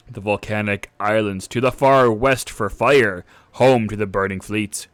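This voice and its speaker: Young male DM, unhurried and casual, reads lore in a close, dry booth sound. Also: medium pitch, no echo. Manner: unhurried, casual with frequent "uh" and "all right". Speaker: dungeon master. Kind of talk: game master reading a setting synopsis to a live stream.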